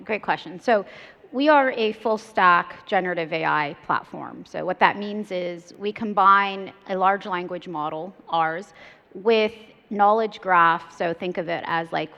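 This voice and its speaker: A woman's voice: lowish voice, a little slow, vocal fry, bright, forceful tone. A woman in her thirties on a conference panel, talking fluently and casually with many "like" and "you know" fillers. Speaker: startup CEO panelist. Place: large conference stage. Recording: reverberant hall, dull lo-fi sound.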